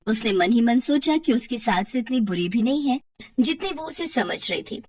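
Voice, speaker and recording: A woman's voice: fairly high pitch, expressive, normal speed, breathy edge, distant mic. A woman narrating a scripted story in a dramatic storytelling style.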